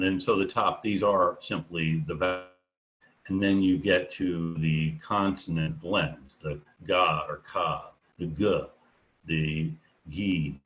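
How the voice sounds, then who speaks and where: A male professor teaching an online class, asking students questions and explaining slowly, often pausing with "um" as he thinks aloud. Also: sits low, low-quality recording. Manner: unhurried, thinking aloud with many ums.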